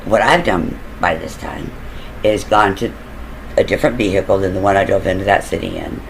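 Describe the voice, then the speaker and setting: A man with a low, deep voice and a rough, gravelly edge tells a story in a relaxed, wandering way. He drifts off on side tracks, trails off with fillers and lets a curse slip now and then. A faint hiss of noise sits under his voice. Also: fairly quick, poor audio. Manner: loose and digressive, with fillers and occasional swearing. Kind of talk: casual rambling storytelling.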